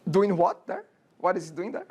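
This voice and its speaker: A man's voice: high voice, very animated, normal speed, darkish tone, slightly rough. Brazilian-accented man lecturing a class. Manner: chatty, checks in with frequent "okay?" and rhetorical questions. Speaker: college programming instructor. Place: university classroom.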